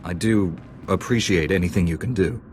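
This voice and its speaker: An actor playing a calm, resolute knightly hero in a video game, speaking directly. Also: male voice, low-pitched, normal speed, slight background noise, natural voice. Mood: composed. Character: resolute, composed male Jedi knight hero.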